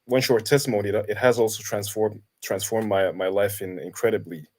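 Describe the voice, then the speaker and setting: A man's voice: lowish voice, steady tone, darkish tone. A man in his thirties from Kenya, a guest in a casual conversation, talking thoughtfully with many halts and restarts. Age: 30s. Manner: halting, many restarts and fillers. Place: Denmark.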